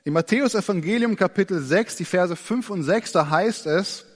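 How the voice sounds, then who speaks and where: A man preaching a sermon, earnest and expressive, slowing down and dropping to a soft voice to stress his points. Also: high voice. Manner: earnest, expressive, slows down and drops soft for emphasis. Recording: long church reverb.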